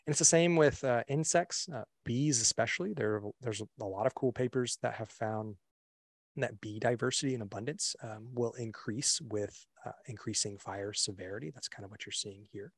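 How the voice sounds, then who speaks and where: A young man presenting a webinar on a video call, relaxed and full of fillers and restarts. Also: medium pitch, normal speed, dry recording. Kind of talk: casual slideshow presentation. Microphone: computer mic on a video call.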